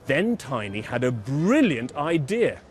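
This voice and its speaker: A man telling a children's bedtime story in an expressive, dramatic way, leaning on key words. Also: medium pitch, a little slow, faint music behind.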